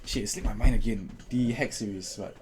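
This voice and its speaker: A man's voice: lowish voice, fairly quick, a little mellow, husky. A man co-hosting a casual podcast, speaking in short offhand remarks with some swearing. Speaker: podcast co-host. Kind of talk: casual chat.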